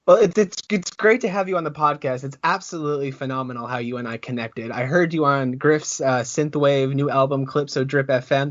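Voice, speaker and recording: Male podcast host, slightly high voice, eager and stammering, muffled dry recording. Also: fairly bright tone, no room sound. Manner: eager, stumbling, repeats words. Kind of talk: casual interview podcast.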